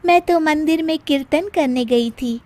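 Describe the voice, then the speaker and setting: A woman voicing a smug young daughter-in-law who feigns pious innocence, sweet and affected, in an animated moral story. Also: sits high, measured pace, very noisy. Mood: feigned innocence.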